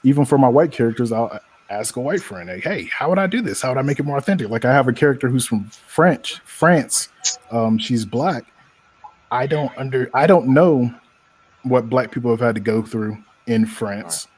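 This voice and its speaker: A man's voice: mid-range voice, expressive, normal speed, darkish tone, breathy edge, rough recording. A man from North Carolina, a comics creator talking casually on a panel, who repeats words as he thinks.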